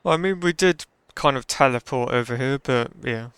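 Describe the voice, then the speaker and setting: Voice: mid-range voice, moderate pace, fairly bright tone, smooth, no room sound. A game streamer giving casual, offhand reaction commentary during gameplay. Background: game music.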